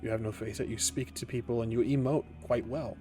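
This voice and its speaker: A man acting as game master, improvising narration with deliberate, dramatic phrasing and pauses. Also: medium pitch, fairly even intonation, dark tone. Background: faint music.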